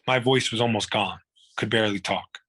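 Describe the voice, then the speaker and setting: A man's voice: on the low side, moderate pace, fairly bright tone, husky, no echo. A man, telling his own illness story in a blunt, profane, rambling way. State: hoarse. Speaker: guest. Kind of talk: candid personal storytelling.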